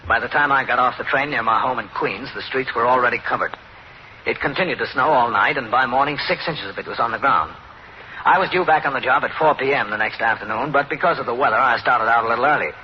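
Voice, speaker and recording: An American actor playing a steady, terse police captain who also narrates an old-time radio crime drama. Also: male voice, mid-range voice, fast. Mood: measured narration. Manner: terse, matter-of-fact. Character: steady male police captain, weary, plain-spoken narrator. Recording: muffled, noisy old radio recording.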